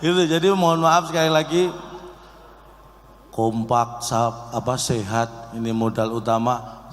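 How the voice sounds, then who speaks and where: A man's voice: medium pitch, fairly even intonation, unhurried. A man, giving a joking, conversational humorous speech directly to a live audience. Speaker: comic speaker at an organisation's event. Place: large hall event with audience. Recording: echoey hall PA with background noise.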